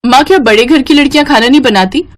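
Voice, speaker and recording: A woman's voice: sits high, quick pace, pitched above the performer's own voice, close to an everyday voice. A woman voicing a sulky, mocking young sister-in-law in a family storybook tale. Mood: sly, mocking. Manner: complaining, mocking.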